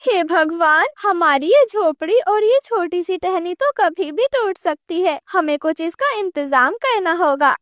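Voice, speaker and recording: A woman's voice: very high voice, animated, measured pace, hardly any pauses, a bit bright, no room sound, studio quality, heavily acted. A woman voicing an anxious mother bird in a cartoon. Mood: alarmed.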